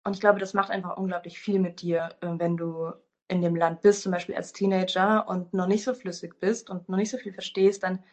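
Young woman from germany, reflective, pauses to find words, interview. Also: fairly low pitch, flat delivery, darkish tone. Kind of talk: personal account.

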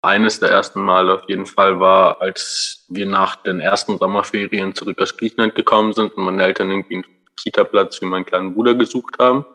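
A man's voice: low-pitched, level tone, brisk, pushed and bright. A nineteen-year-old man from Greece, native Greek speaker, answering an interview casually with many fillers.

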